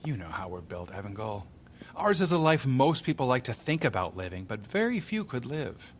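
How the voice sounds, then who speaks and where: A restless former adventurer, voiced by a man speaks in a reflective, wistful way, at a middle pitch. His tone is thoughtful in an audio drama. A faint hiss sits behind the voice. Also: sing-song, moderate pace, lightly acted.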